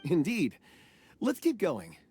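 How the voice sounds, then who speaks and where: A man's voice: high voice, wildly swooping pitch, takes it slowly, darkish tone, soft background music, exaggerated voice. A man voicing a polite, thoughtful young man in a video game, mild and a little hesitant in delivery. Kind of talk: scripted video game voice acting.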